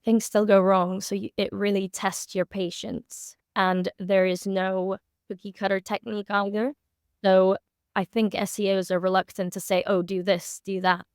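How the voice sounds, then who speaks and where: A woman's voice: on the low side, clear, resonant tone, no room sound, clean studio sound. A woman in her twenties from Moscow and Singapore, talking candidly as an expert guest in a conversational interview. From born in Moscow, grew up in Singapore. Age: 20s. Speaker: SEO consultant and interview guest.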